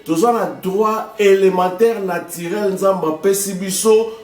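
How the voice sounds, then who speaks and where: A man from Congo lays out his political views like a commentator, leaning on proverbs and speaking to the listener as "maman". His voice sits high for a man. The recording is roomy, and he sounds set back from the microphone. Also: fairly even intonation, a little slow, darkish tone, distant mic. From Congo (DRC). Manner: explanatory, uses proverbs, addresses listener as maman. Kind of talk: political talk show commentary.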